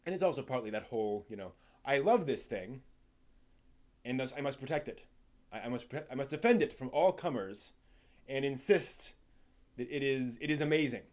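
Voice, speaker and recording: A man's voice: medium pitch, expressive, darkish tone. Man rambling casually on a live stream. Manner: halting and rambling, with repeated words and trailing thoughts. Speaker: live stream host and anime reviewer.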